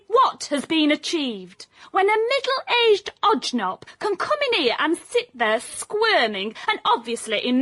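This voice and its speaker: An actress playing a cheeky, blunt, indignant young detective's assistant in radio comedy drama. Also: female voice, high-pitched, sharp tone, no echo, heavily acted. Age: young adult.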